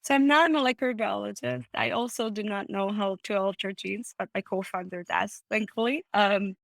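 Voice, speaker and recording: A woman in her thirties, originally from Israel, talks as a startup CEO on a video call. She explains things step by step in an articulate way, her voice sitting at a middle pitch. The sound is dry and close, with no room echo. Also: normal speed, vocal fry. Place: home room, indoors.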